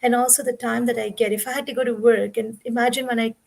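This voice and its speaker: A woman's voice: fairly high pitch, level tone, fast talker, creaky, a little mellow. A woman in her forties from India, a guest on a video call interview, telling her story in an earnest, conversational way. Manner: conversational, earnest, explains at length.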